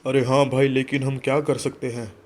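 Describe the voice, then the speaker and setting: A man's voice: mid-range voice, level tone, moderate pace, natural voice. Earnest, worried village man acted by a man. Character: worried village men discussing a ghost.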